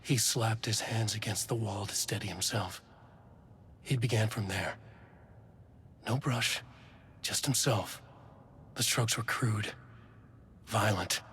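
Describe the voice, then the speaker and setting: A man playing a brooding narrator, reading dark noir prose in grave, deliberate, short dramatic phrases. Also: on the low side, a little hiss or hum. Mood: grim. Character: brooding male narrator of dark manuscript pages.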